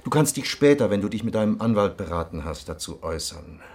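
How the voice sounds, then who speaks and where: An actor playing a hard-nosed police investigator in a radio crime drama, sardonic, commanding and cutting. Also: male voice, lowish voice, animated, fast, darkish tone.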